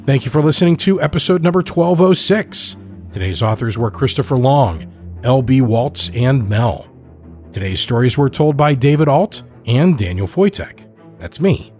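A man's voice: medium pitch, animated, normal speed, a little mellow, soft background music. A man reading scripted narration as a storyteller and podcast host.

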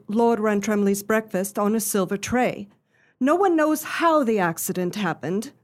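Woman acting an earnest, high-voiced genteel young lady. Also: lightly acted. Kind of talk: radio play acting.

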